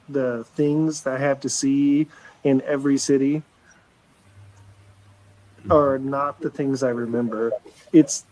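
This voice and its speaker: A man in his forties speaking at a middle pitch, with an everyday voice. He talks casually and earnestly, circling back and restarting his thoughts as he goes. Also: warm tone, low-quality recording. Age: 40s. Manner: rambling and earnest, with frequent restarts and casual swearing. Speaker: livestream show regular. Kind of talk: casual live chat.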